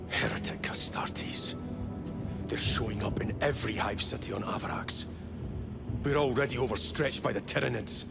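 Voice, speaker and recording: An actor playing a solemn, overburdened space marine captain commanding a besieged war in a sci-fi game. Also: male voice, mid-range voice, normal speed, gravelly, lightly acted. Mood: grim, weary. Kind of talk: cinematic sci-fi game acting. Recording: loud music over the voice.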